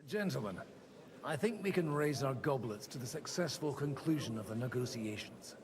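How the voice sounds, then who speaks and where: Courtly, animated nobleman played by a man. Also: mid-range voice, moderate pace, big-room sound, crowd noise, close to an everyday voice. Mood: jovial toast. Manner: courtly, theatrical. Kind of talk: dramatic scripted game acting.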